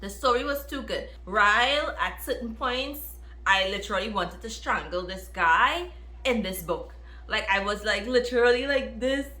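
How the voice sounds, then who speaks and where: A woman talks casually to her viewers in the style of a book review. Her voice is bright and forceful, and she is expressive, often repeating phrases to drive them home. The recording is roomy, with noticeable echo. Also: on the high side, moderate pace. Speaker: book reviewer, video host. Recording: roomy, echoey room.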